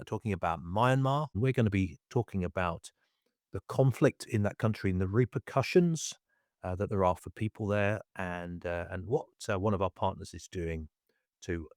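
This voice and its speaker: Male podcast host, very deep, halting with fillers, dry studio sound. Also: darkish tone, no echo. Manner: hesitant, many fillers and restarts. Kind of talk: casual conversational podcast.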